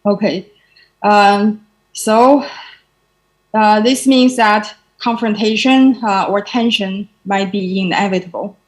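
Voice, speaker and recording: Woman w presenting at a conference, lots of uh pauses, mid pitch. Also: low-quality recording. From China. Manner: halting, many filler pauses. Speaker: conference speaker.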